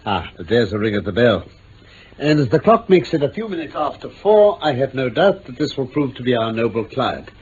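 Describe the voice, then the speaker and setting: An actor playing a shrewd, courteous English gentleman detective in a radio drama, speaking with crisp, formal authority and dry irony. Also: mid-range voice, very animated, brisk, natural voice. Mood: brisk, intrigued. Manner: crisp, formal, probing, dryly ironic. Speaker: actor in the lead detective role. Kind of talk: 1950s-60s BBC radio drama acting. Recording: muffled, hissy old radio recording.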